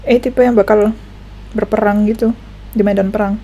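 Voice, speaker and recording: A woman's voice: medium pitch, fairly even intonation, brisk, mellow, rough recording. A young adult woman with an Indonesian accent, giving casual, playful live commentary while playing a game. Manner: playful, giggly, mixes languages. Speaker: game streamer reacting to a story quest.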